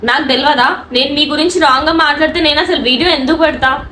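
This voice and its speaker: A woman's voice: high voice, fast talker, bright, forceful tone. A woman online anchor with a Telangana Telugu accent, speaking defensively and insistently to a critic in a response video, mixing in English words. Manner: indignant, defensive, insistent rhetorical questioning; mixes English words into speech. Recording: echoey room with faint background noise.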